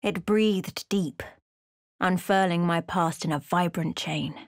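A woman narrating a fantasy game as a solemn storyteller, in a measured, dramatic manner. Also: on the low side, dry recording, studio quality.

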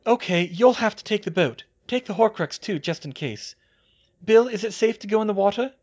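A man's voice: high-pitched, animated, moderate pace, a little mellow, dull audio, voice pushed up. A man voicing a bossy, anxious young witch in an audiobook, speaking with urgent, commanding emphasis. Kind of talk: audiobook character voice.

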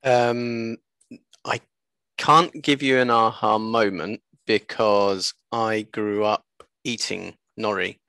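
Low, creaky-voiced man chatting. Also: steady tone, slow pace, bright, forceful tone, no echo. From born in Japan, later moved away. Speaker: interview guest, seaweed business co-founder. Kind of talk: casual interview chat. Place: small room at home, video call. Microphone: headset on a video call.

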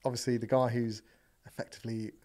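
A man's voice: mid-range voice, flat delivery, warm tone. Jokey young male podcast host. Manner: upbeat, jokey, quick asides. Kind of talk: casual interview chat. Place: podcast studio with brick wall. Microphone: boom mic on arm.

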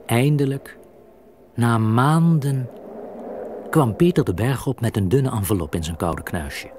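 A man's voice: medium pitch, animated, a little slow, heavy background noise. A man narrating a scripted children's story.

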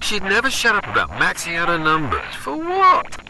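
A sneering livestream troll in an audio drama. His voice is on the high side and swings scornfully up and down, dismissive of everyone, with clear noise behind him. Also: wildly swooping pitch, normal speed, exaggerated voice. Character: sneering, contemptuous male internet troll watching a livestream. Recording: noisy voice-chat ambience.

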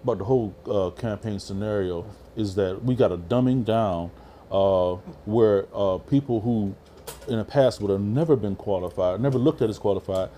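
A man's voice: on the low side, normal speed, mellow, slight background noise. A middle-aged man chatting casually in a small barbershop, repeating words and often asking "you know what I mean". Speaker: panelist. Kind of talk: casual discussion.

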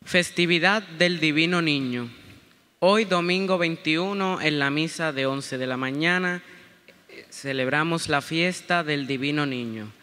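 A man's voice: fairly high pitch, pushed and bright. A young man reading parish announcements aloud at a lectern microphone in a large, reverberant church.

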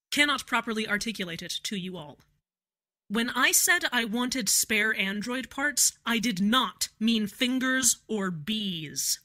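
A woman plays a fussy female android addressing a small desert town by radio, formal and exacting, with a quiet condescension. Her voice sits fairly high and is measured. She sounds like a careful machine that has run the numbers. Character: prim, precise female android speaking on radio. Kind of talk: fan audio drama acting.

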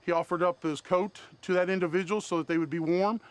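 Sheriff guy, kinda high voice, plain talk, lots of uhs. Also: fairly even intonation, quick pace, smooth. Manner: plainspoken, uses fillers. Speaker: county sheriff. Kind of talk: interview soundbite.

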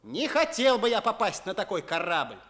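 A high, very animated schoolboy in a Soviet radio play. He is earnest and easily exasperated, with a nagging, schoolmasterly way of talking. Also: moderate pace, exaggerated voice. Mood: mocking. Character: earnest, exasperated schoolboy friend tutoring in arithmetic.

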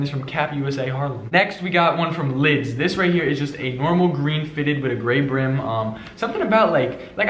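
A young man from New York runs through his hat collection in a relaxed, chatty way, full of fillers and slang. He talks at a quick clip. His voice sits in a middle register, and the room gives it a noticeable echo. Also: mid-range voice, slight background noise. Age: young adult. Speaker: fashion vlogger. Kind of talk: casual hat collection haul. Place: bedroom with shelves, indoors. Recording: echoey room.

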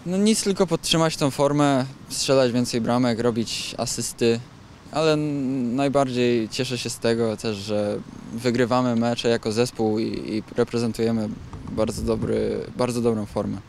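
A young footballer giving a post-match interview outdoors on a pitch at night, talking hesitantly with restarts and fillers. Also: male voice, fairly even intonation, normal speed, creaky, darkish tone, slight background noise. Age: young adult. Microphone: handheld interview mic.

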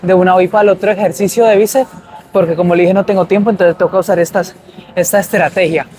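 A man's voice: high-pitched, steady tone, quick pace, darkish tone. Upbeat young man vlogging at the gym. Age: young adult. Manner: upbeat, chatty, grunting between sets. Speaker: fitness vlogger. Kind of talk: casual vlog chat to camera. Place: large indoor gym, then a shopping mall walkway.

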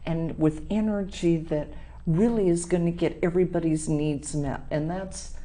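A woman's voice: lowish voice, fairly even intonation, normal speed, mellow. Effusive woman TV talk show host. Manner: effusive, laughs often. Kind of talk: TV interview. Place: TV studio set.

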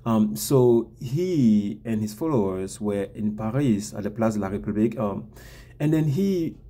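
A man's voice: fairly low pitch, lively intonation, unhurried, mellow. A man in his thirties from Cameroon, hosting his own vlog and sharing political views to camera, with many ums and repeated words, recorded on a laptop camera in a small room. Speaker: vlog host. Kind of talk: casual political commentary to camera.

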